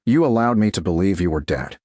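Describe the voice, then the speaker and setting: A man's voice: low-pitched, animated, brisk, warm tone, no echo, studio quality. A man voicing a stern, commanding duke in an audiobook romance, wounded but controlled, speaking in clipped and certain phrases.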